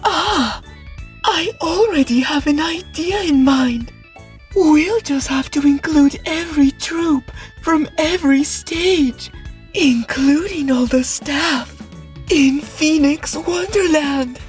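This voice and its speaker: A woman voicing a calm, courteous, scheming young male show director in an anime fan dub, measured and polite. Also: high-pitched, soft background music. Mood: composed, confident.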